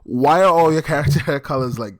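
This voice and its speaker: A man's voice: on the high side, brisk. A man co-hosting a casual chat, joking around and swearing freely.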